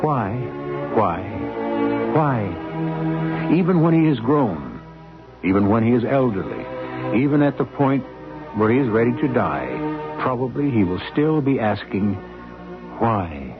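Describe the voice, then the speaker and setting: A male radio drama host giving a reflective closing commentary in a measured, musing way, on a tinny old broadcast. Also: medium pitch, animated. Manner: slow, measured, musing delivery. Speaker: host and narrator. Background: music.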